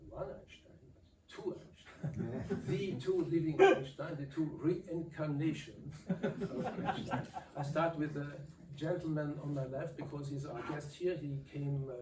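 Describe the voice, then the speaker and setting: An older man, moderating a public talk in a lecture hall in a halting, pausing way. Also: a little high, warm tone, a little hiss or hum. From Austria. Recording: echoey hall from far off.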